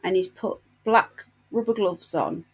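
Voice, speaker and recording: A woman's voice: medium pitch, unhurried, slightly rough. Woman livestreamer, gossipy and indignant, under the weather. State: unwell with a painful chest. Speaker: livestream host. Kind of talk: casual livestream chat.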